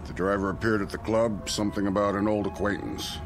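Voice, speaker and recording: An actor playing an indignant, commanding army colonel in a radio drama. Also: male voice, fairly low pitch, little pitch movement, brisk, soft background music, lightly acted. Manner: brusque and commanding.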